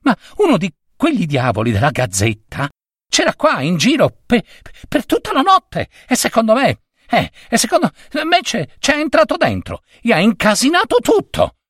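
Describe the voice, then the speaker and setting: Man voicing a high, breathless, stammering young shop lad; jumpy storybook boy. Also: wildly swooping pitch, moderate pace, a bit bright, breathy edge, no room sound, studio quality, heavily acted. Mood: panicked. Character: flustered young male print-shop boy.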